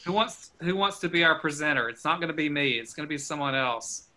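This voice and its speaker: A man, a pastor, leading a small group on a video call in a friendly way, asking quick questions and laughing often. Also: a little high, expressive, pushed and bright, rough recording.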